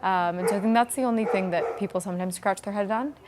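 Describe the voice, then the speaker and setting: A woman's voice: mid-range voice, fast, noisy background. A woman answering interview questions as a company founder, in an informal, explanatory conversational manner. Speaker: startup founder being interviewed.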